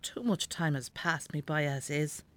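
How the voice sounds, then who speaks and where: A woman's voice: low-pitched, measured pace, fairly bright tone. Stubborn, ailing elderly Irish mother. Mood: weary, complaining. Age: older. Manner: grumbling, blunt. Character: sick, stubborn elderly Irish farm mother, weary, sharp-tongued. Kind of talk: naturalistic radio drama acting.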